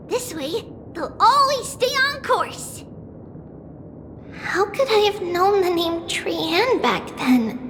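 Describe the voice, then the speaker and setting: An excitable little girl from an anime-style game, speaks in a very high, childlike voice. She is eager and boastful, and takes her time between words. Music plays clearly behind her. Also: big-room sound, full character voice. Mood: puzzled. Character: hyper, boastful little girl, a holy maiden.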